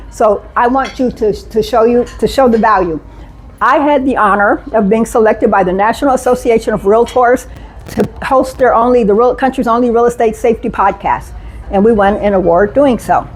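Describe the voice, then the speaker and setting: A woman speaks from the front of a conference room, her voice pitched a little high. She talks with energy and keeps the audience engaged, often pausing to put questions to the room. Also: a little hiss or hum, low-quality recording. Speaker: safety speaker. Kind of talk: live conference talk to an audience.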